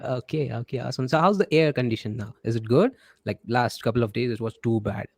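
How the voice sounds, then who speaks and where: A man's voice: mid-range voice, fast, no room sound, studio quality. A man with an Indian accent hosting a casual remote interview, friendly and chatty, who often repeats words and uses many fillers. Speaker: interview host.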